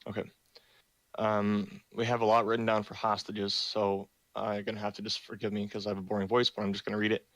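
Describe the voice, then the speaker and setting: A man's voice: fairly low pitch, level tone, quick pace, a bit bright, dry recording, low-quality recording. A young man hosting a roleplay community meeting over voice chat, reading the rules in a plain, self-described boring voice with many ums.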